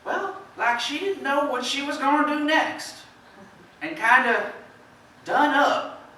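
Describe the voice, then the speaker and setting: A man acting a plain-spoken farmer in an amateur stage play, telling his story in a folksy, halting way and dramatizing the quotes. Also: extremely high pitch, bright, forceful tone, heavily acted. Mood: uneasy, recalling. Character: plain-spoken neighboring farmer recounting a shocking visit. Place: theatre stage, indoors. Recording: echoey stage from far off, faint noise.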